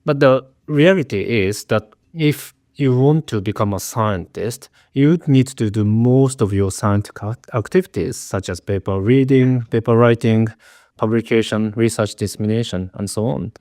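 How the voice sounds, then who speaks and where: A man's voice: fairly low pitch, normal speed, a little mellow, no echo, clean studio sound. A middle-aged man from Japan with a Japanese accent, a researcher giving earnest, carefully prepared interview answers with frequent restarts, sounding a little nervous.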